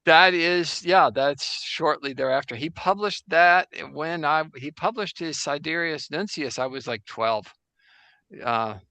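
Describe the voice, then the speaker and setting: A man playing a 17th-century Jesuit astronomer priest in a casual improvised interview over a video call, halting and full of restarts. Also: on the high side, bright, forceful tone, smooth, no room sound. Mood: wry. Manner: halting, full of restarts and fillers, joking anachronisms. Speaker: guest in role-play.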